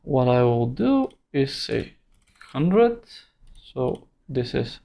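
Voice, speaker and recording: Slow, halting male tutorial instructor. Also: medium pitch, sing-song, gravelly, mellow. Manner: halting, thinking aloud in short phrases. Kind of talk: unscripted screen-recorded tutorial narration.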